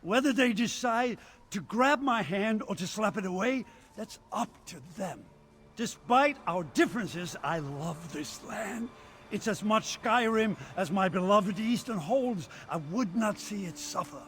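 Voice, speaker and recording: Proud nord leader, pretty high voice, earnest and thoughtful, game cutscene. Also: male voice, expressive, measured pace, a bit bright, a little hiss or hum. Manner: earnest, reflective. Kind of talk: video game cutscene dialogue.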